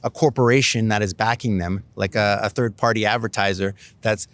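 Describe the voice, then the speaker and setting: Canadian man, middle-aged candidate; loose, filler-heavy talk, deep-ish voice. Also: fairly even intonation, brisk, no echo. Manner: rambling, many fillers and restarts. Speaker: city council candidate. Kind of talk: casual interview answers.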